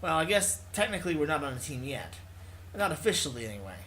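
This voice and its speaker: A man's voice: on the high side, sing-song, fast talker, bright, forceful tone, a little hiss or hum. A man reading visual novel text aloud as a let's player, stumbling over words and correcting himself, with casual asides. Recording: music playing behind the voice.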